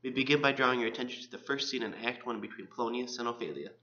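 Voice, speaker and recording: A man's voice: medium pitch, rapid-fire, pushed and bright, highs cut off. A man, a college student presenting, reads aloud a scripted discussion of literature.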